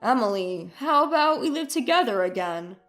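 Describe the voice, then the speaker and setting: A woman's voice: fairly high pitch, very animated, normal speed, a bit bright, faint music behind. A woman voicing a needy, wheedling ex-husband in a dramatized story, pleading and plaintive.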